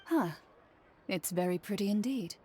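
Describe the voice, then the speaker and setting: A woman playing a composed, formal bodyguard in an anime-style game, measured and courteous. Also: mid-range voice, expressive, loud music behind the voice, natural voice.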